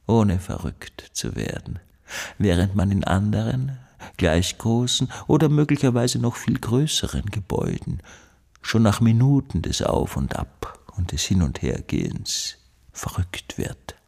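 An embittered, obsessive recluse in a radio drama monologue. His voice is low and husky, often breathy, and he broods slowly over insistent, halting phrases that circle back on themselves. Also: mellow, exaggerated voice.

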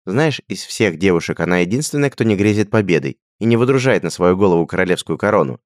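A man voicing a cheerful, teasing young male hunter who mocks his friend in a higher, lively voice. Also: fast talker, no room sound, studio quality. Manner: mocking, playful. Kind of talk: audiobook character voice.

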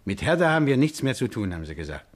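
An actor in a 1950s German radio crime drama playing an anxious, hot-tempered working-class man who tells his story haltingly. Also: medium pitch, sing-song. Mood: uneasy, confiding.

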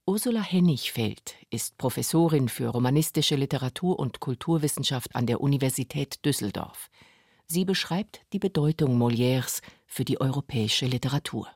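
A man narrating a scripted radio documentary in a measured storytelling way. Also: medium pitch, lively intonation, a little mellow, dry recording. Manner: measured, clear storytelling delivery. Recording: dry studio with faint music.

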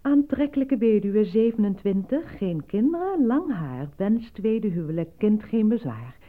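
Formele omroepster, smalle telefoonklank. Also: female voice, medium pitch, very animated, dry recording. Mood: measured announcing. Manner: deliberate, formal announcing. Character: measured female announcer reading slogans and lonely-hearts ads. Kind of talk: scripted announcement in a radio play. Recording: dry, narrow phone-like old recording.

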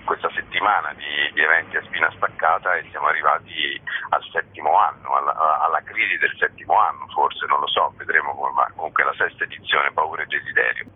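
A man's voice: a bass voice, fairly even intonation, fairly quick, breathy edge, narrow, tinny audio. A man explaining an event in an informal interview, talking in a discursive, expansive way, as a festival co-director.

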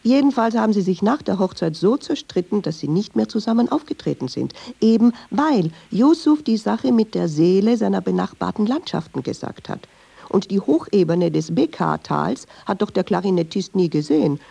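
A woman's voice: mid-range voice, expressive, moderate pace, vocal fry, mellow, breathy edge, full character voice. An actress playing a talkative, wry woman storyteller in a German radio play, digressive, colloquial and easily offended. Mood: sardonic storytelling.

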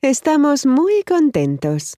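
Mellow, lilting woman modelling phrases. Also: high-pitched, wildly swooping pitch, slow, dry recording, clean studio sound. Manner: careful, clearly enunciated. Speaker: language course model speaker. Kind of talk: scripted phrase modelling for learners.

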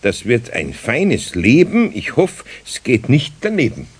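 An older Bavarian master craftsman in a children's radio play. His voice is husky and very lively in pitch. He grumbles in a homely way, yet stays patient and kindly. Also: a little slow, exaggerated voice. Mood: amused, indulgent. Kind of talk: 1970s German children's radio play acting.

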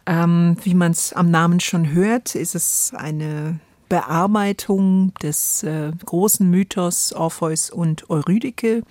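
Low, mellow woman in radio conversation. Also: fairly even intonation, slow pace, few pauses, no echo. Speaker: co-host. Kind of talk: conversational radio discussion introducing an audio play.

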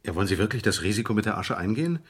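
An obliging fixer in an old crime radio play. The voice is low and husky, and the delivery is polite, wordy and dry. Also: male voice, animated, very fast. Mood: drily amused. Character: discreet, drily witty, obliging fixer for shady clients. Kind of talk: vintage German crime radio play acting.

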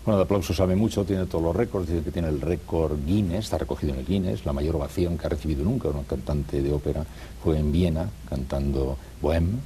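A man's voice: rumbling low, brisk, warm tone, slight background noise. A man interviewing an opera singer, asking questions politely with formal, respectful address.

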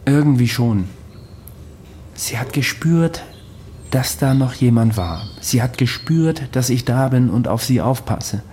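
A man playing a protective, secretive watcher in a radio play. His voice is mellow and dark at an ordinary male pitch, measured and deliberate, with faint ambient noise behind it. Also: expressive, lightly acted. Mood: brooding, wry. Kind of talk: radio drama acting.

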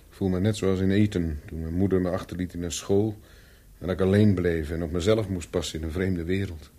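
An actor playing a lonely, regretful gentleman in an intimate radio play, speaking in a subdued, husky and earnest way. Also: deep voice, fairly even intonation, a little fast. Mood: lonely, uneasy. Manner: earnest, brooding, uneasy. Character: lonely, regretful upper-class man visiting an old flame.

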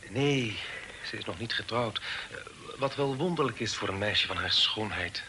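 An actor playing a polite, bashful young gentleman traveller in a radio drama, speaking hesitantly. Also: fairly low pitch, moderate pace, close to an everyday voice. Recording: noisy old radio recording.

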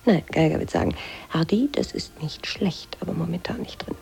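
An actress playing a blunt, sceptical radio colleague with a deadpan manner in a radio drama. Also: female voice, fairly low pitch, normal speed, vocal fry, husky, faint music behind, full character voice. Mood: dry, sceptical. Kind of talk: intimate radio play dialogue.

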